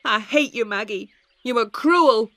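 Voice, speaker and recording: A woman reading a storybook voices a proud, quick-tempered eleven-year-old boy in a blunt, stubborn way. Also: medium pitch, animated, a bit bright, no room sound, voice pushed up, lightly acted. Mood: angry.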